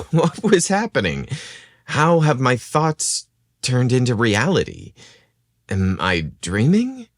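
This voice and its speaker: A man's voice: medium pitch, wildly swooping pitch, slow, no echo, clean studio sound, voice pushed up, full character voice. Man playing a flustered, shy romance writer. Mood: bewildered, flustered.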